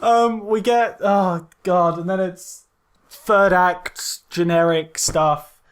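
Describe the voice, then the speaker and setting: A man co-hosting a podcast, retelling a film casually in a loose, halting way with mild exclamations. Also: sits high, fairly even intonation, smooth. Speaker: podcast co-host. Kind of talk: casual film critique chat.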